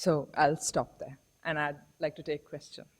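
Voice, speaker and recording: A woman professor giving a measured, reflective public lecture, posing rhetorical questions to the audience. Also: sits low, level tone. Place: lecture hall.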